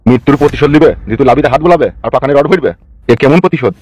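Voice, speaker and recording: A man's voice: medium pitch, rapid-fire, very noisy, lightly acted. A man voicing a furious, foul-mouthed gangster politician in an amateur comedy cartoon, scolding and accusing crudely. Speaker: cartoon voice actor.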